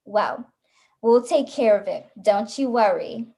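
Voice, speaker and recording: Woman acting a police desk officer, fairly high voice, brusque, patronizing, tired, radio suspense play. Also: animated, a little slow, dark tone. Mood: patronizing, weary. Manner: patronizing, placating.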